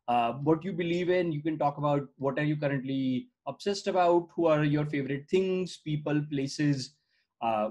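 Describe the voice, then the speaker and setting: Man demoing software over a call. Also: a little high. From India. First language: Gujarati. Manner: conversational, many "uh" fillers and "right?" tags. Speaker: startup founder giving a product demo. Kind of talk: screen-share product walkthrough. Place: indoor room on a video call. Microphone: video call webcam mic.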